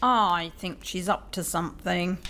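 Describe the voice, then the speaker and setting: A woman playing a gruff, sharp-tongued housekeeper in an audio drama, blunt and snappish. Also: on the low side, a little slow. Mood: grumpy, scornful.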